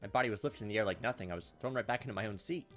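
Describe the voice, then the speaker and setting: A man's voice: fairly low pitch, very fast, a bit bright. Theatrical man reading a game aloud over music. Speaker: gameplay host reading a visual novel aloud. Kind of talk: dramatic read-aloud narration mixed with joking commentary.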